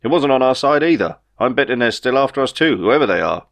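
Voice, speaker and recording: A man's voice: medium pitch, animated, fast, creaky, fairly bright tone, voice pushed up. A man voicing an easygoing, know-it-all spaceship pilot in an audiobook, chatty and reassuring. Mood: concerned. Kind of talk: audiobook character dialogue.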